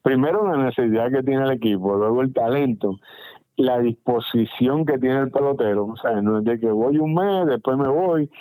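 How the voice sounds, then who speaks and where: A middle-aged man with a Puerto Rican Spanish accent speaks in a warm, mellow voice. He pauses, repeats words and fills gaps with "eh" and "este". The sound is dry and close, like a radio studio. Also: mid-range voice, no room sound, poor audio. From Puerto Rico. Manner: halting, many restarts and fillers. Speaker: baseball general manager. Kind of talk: radio interview answers.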